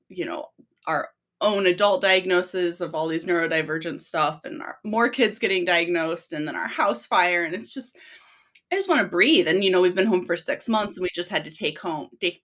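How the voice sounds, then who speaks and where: A woman's voice: fairly low pitch, fairly bright tone. A middle-aged woman hosting a podcast, chatting informally and candidly with a self-deprecating way of telling stories. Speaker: podcast host. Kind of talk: casual chat, personal storytelling.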